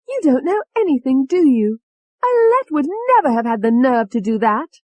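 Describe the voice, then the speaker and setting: A woman voicing Tony, a violent, foul-mouthed young woman with a British accent, shouting in shrill rage. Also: big pitch swings, moderate pace, dry recording. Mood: furious.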